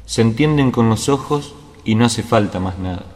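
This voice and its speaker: A man's voice: fairly low pitch, moderate pace, a little mellow, a little hiss or hum, natural voice. A man reciting poetic narration for a radio drama in a lyrical, cadenced way. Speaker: verse narrator. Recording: long hall reverb.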